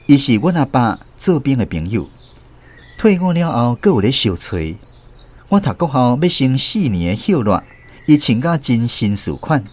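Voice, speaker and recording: A man's voice: mid-range voice, big pitch swings, moderate pace, darkish tone. An actor playing a writer looking back on his village childhood in a radio drama, reflective and conversational, with a Taiwanese accent. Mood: reminiscing, explanatory. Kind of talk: radio drama storytelling narration.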